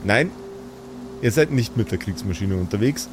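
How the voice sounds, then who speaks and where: A man's voice: lowish voice, lively intonation, moderate pace, over music. A man improvising narration as game master of a tabletop game, with a sardonic manner.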